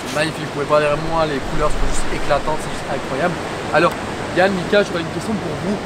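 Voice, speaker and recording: A slightly high-voiced man hosts a travel vlog on a beach, eager and full of emphasis. He stands well back from the mic. Loud surf rolls behind him. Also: fairly even intonation, quick pace, far from the mic, very noisy. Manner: eager, leans on emphatic filler words. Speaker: travel vlogger host. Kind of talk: on-camera travel interview.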